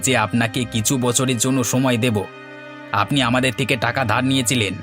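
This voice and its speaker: Man acting a fast-talking, firm creditor. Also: mid-range voice, steady tone, over music, close to an everyday voice. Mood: firm, reproachful. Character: firm, cold creditor businessman. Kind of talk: Bengali fairy-tale cartoon dubbing.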